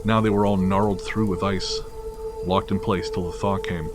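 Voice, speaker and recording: A man narrating a scripted first-person horror story in a measured, literary storytelling manner. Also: sits low, level tone, over loud music.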